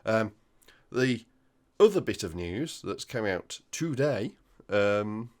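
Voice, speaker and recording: Croaky, deep-voiced man, recovering from flu. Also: very animated, slow pace, darkish tone. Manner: hesitant, many ums and you-knows. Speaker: hobby channel host. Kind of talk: casual news roundup.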